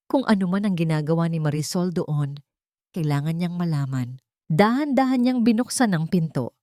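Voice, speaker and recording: A woman's voice: low voice, darkish tone, dry recording, clean studio sound. A woman reading a scripted story as a dramatic audiobook narrator.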